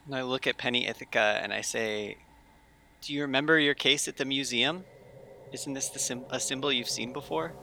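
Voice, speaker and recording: A man's voice: medium pitch, moderate pace, gravelly, sharp tone, a little hiss or hum. A man playing in a tabletop game, telling his part in a casual, improvised way.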